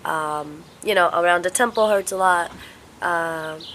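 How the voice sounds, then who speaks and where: A young woman vlogs from home with a congested, stuffed-up voice. Her tone is bright and forward but sits a bit low. She talks slowly and haltingly, worn out, with a faint hiss in the background. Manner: slow, halting, tired delivery. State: congested, sniffly with a cold. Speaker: vlogger. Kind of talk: casual vlog chat. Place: home, indoors.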